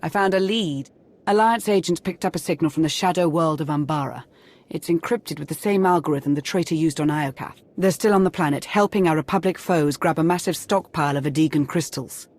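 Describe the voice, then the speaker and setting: An actress playing a composed, shrewd intelligence adviser in a video game, with a crisp, purposeful way of briefing. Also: female voice, low-pitched, a little fast, a little mellow. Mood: upbeat. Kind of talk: video game cutscene acting.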